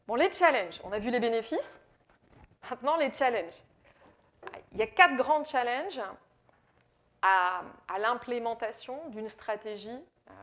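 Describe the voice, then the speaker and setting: A woman's voice: medium pitch, fairly bright tone. A woman from France with a French accent giving a business presentation, explaining with frequent fillers and repeated words. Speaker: AI consultant speaker. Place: presentation in Quebec.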